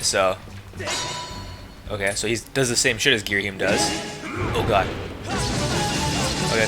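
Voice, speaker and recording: A man giving casual let's play commentary, thinking aloud and reacting to the game as he plays. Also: on the low side. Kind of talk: casual gameplay commentary, reading dialogue aloud. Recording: game music behind the voice. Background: video game music.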